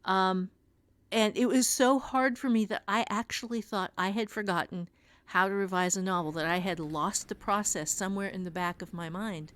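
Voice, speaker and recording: A woman speaks as an author in a casual podcast chat. She tells things reflectively and haltingly, searching for the next phrase as she goes, with a mid-pitched voice. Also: steady tone, a bit bright, smooth. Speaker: author and writing teacher.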